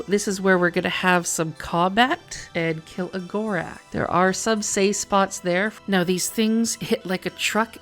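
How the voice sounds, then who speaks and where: A woman's voice: on the low side, a bit bright. A woman narrating a video game walkthrough in a casual, colloquial and playful way.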